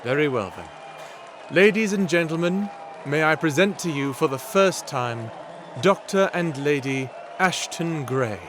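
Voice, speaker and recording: A man playing a stately wedding officiant in an audio drama, speaking in a formal, measured, proclaiming manner. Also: on the high side, animated, fairly bright tone, a faint crowd, slight background noise. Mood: ceremonial, proud. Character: stately male wedding officiant serving the king. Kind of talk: ceremonial speech in audio drama.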